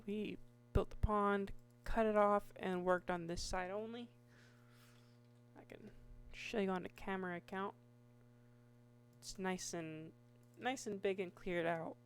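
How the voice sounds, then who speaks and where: Slow, hoarse woman narrating her gameplay. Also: mid-range voice, steady tone, slight background noise. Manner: slow, meandering, with many restarts and fillers. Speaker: Minecraft YouTuber. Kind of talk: casual gameplay commentary.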